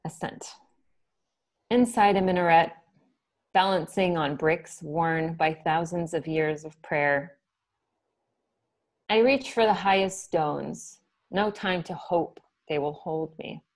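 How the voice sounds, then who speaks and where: Low-voiced woman reading poems softly. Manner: soft, measured reading with brief asides. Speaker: poet reading her work. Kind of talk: poetry reading on a video call. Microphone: video call.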